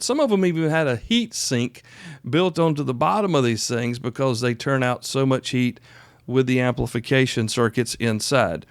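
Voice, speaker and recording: A man's voice: mid-range voice, no echo. Plainspoken Southern US man in his fifties. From South Carolina, United States. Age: 50s. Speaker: podcast host. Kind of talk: informal solo podcast talk.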